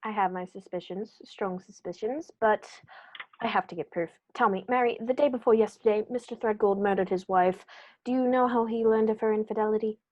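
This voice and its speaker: Radio play lead: female actor as authoritative Victorian gentleman sleuth, mid-range voice, incisive formal delivery. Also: medium pitch, fairly quick, dull audio, natural voice. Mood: grave, incisive. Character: shrewd, commanding male Victorian detective. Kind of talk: 1940s radio play acting.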